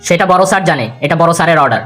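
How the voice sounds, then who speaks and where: A woman voicing a brisk, commanding policeman in a cartoon story, curt and urgent. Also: fairly low pitch, animated, a bit bright, faint music behind. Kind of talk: cartoon moral story acting.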